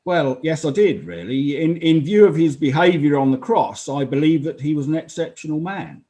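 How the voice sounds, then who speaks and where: A man playing a sober, earnest Roman army officer giving halting, measured testimony in a dramatized inquest. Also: on the high side, expressive, dark tone, natural voice. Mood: moved, earnest. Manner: halting, measured, solemn witness delivery.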